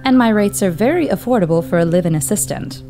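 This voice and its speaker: A woman's voice: medium pitch, animated, quick pace, dark tone, lightly acted. A woman playing a teasing live-in assistant. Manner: teasing, playful. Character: young American woman assistant, teasing and caring. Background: music.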